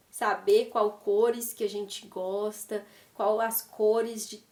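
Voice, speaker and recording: A woman's voice: medium pitch, a little mellow. Brazilian woman vlogging from home, little stuffy from a cold, echoey room, mic kinda far off. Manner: chatty, tags sentences with "né?" and addresses viewers as "gente". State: slightly congested from a cold. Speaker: fashion and lifestyle vlogger. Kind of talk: casual chat to viewers. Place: at home by her wardrobe. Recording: roomy, distant from the mic.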